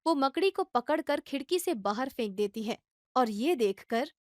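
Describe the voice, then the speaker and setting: A woman narrating a scripted children's story in a steady, plain storytelling manner. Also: high-pitched, normal speed, dry recording, studio quality.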